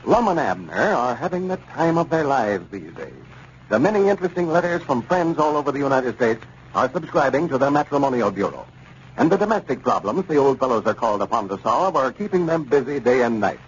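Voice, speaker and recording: A male 1930s radio announcer with a very animated, swooping delivery at a medium pitch. He reads his script in a polished, emphatic sales manner. The recording is dull, with faint noise. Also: fairly quick.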